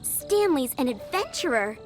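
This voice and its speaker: Little girl game character, extremely high voice, animated, sweet, dreamy, earnest, light music behind. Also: measured pace, full character voice. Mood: dreamy, eager. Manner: earnest, childlike, giggly. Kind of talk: animated video game voice acting.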